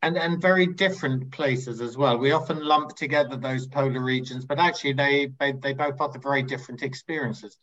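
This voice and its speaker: A man's voice: medium pitch, steady tone, a little fast, few pauses, fairly bright tone, lo-fi sound. A man hosting a podcast, introducing and interviewing a guest. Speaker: podcast host.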